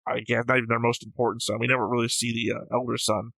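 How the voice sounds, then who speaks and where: Male reaction co-host, crisp bright tone, dry sound. Casual, argumentative, nitpicking delivery. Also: medium pitch, little pitch movement, racing, no echo. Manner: pedantic, correcting his co-host.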